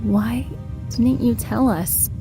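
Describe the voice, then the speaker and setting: A woman's voice: fairly high pitch, takes it slowly, over music. A woman playing a tender, distressed young heroine in a superhero fan dub.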